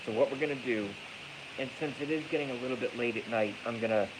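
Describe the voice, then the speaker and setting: A man's voice: mid-range voice, fast. A man with a congested, stuffy voice delivers a casual, rambling livestream monologue, blunt and profane, repeating phrases for emphasis. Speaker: livestream host. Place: echoey garage at night. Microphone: iPhone, moved closer during the stream. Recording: hissy noisy iPhone recording.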